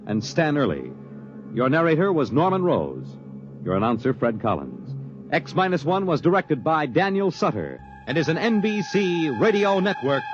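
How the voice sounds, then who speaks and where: A man announcing in a formal, declarative 1950s radio style, reading scripted credits. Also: on the high side. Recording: dull vintage 1950s radio recording.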